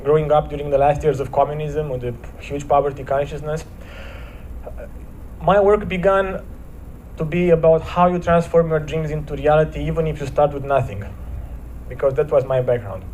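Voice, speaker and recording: A man's voice: on the high side, fairly even intonation, a little mellow, slight background noise. Romanian-accented man, earnest storyteller. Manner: earnest, measured storytelling with slowed emphatic phrases. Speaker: guest speaker. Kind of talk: inspirational talk with storytelling. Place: church service hall.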